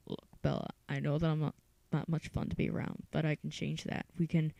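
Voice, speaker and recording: Woman doing an awkward, gravelly dad. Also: low-pitched, little pitch movement, fairly quick, mellow, no room sound, dull audio. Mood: awkwardly earnest. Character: awkward, well-meaning middle-aged father.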